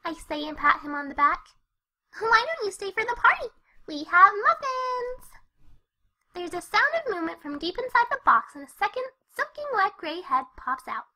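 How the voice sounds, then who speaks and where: A woman voicing a bubbly, excitable young female cartoon pony, perky and chatty as she narrates a storybook tale. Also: very high-pitched, heavily acted. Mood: cheerful, upbeat. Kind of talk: first-person storybook narration with character dialogue.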